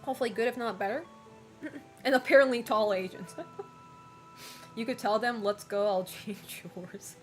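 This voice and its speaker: A woman's voice: a little high, normal speed, long pauses, soft background music. Chatty young woman streamer, laughing. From United States and Japan. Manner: chatty, frank, laughs often, many fillers. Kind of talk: casual chat reading viewer messages.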